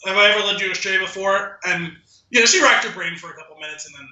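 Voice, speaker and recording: A man with a very bright, forceful, high voice in a roomy, echoey space. He tells casual, rambling stories like a podcast co-host, animated and sardonic, quoting back-and-forth dialogue as he goes. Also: fast.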